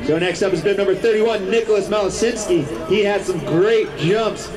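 A man's voice: high-pitched, moderate pace, music in the background. A man giving live sports commentary at an outdoor event, explaining things and urging on the crowd. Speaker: ski jumping event commentator. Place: outdoor ski jump event.

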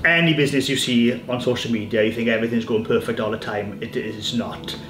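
A man's voice: medium pitch, fairly quick, music in the background. A man in his thirties from Wales, a beekeeper talking casually about his work, often repeating words as he goes. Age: 30s. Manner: relaxed, repeats words as he talks. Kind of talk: casual interview chat. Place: farm kitchen and woodland hive site.

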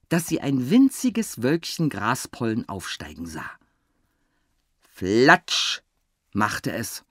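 A woman's voice: rumbling low, no room sound. Lady reading a kids story, takes her time, very dry close studio sound. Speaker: audiobook narrator. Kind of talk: expressive scripted children's story narration.

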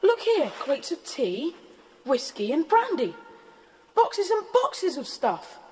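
An earnest English schoolboy adventurer in a children's audio play. The voice is very high and swoops about with proper, indignant exclamations. Faint tape noise sits behind it. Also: female voice, very animated, takes it slowly, a little mellow, exaggerated voice. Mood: amazed. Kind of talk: children's audio drama acting. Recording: faintly noisy cassette transfer.

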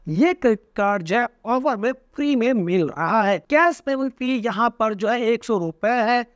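High-voiced, animated man explaining to camera. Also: normal speed, dry recording, clean studio sound. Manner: animated, gesturing constantly, addresses viewers as friends. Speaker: personal finance YouTuber. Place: small indoor room with a floor lamp. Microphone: lapel mic.

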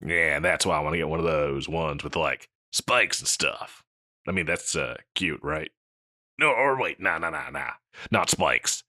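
A man playing a scruffy, insecure slacker catboy pet in a comedic audio roleplay, with a halting, mumbly dude drawl. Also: very deep voice, expressive, pushed and bright, dry recording, clean studio sound, heavily acted. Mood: laid-back, teasing.

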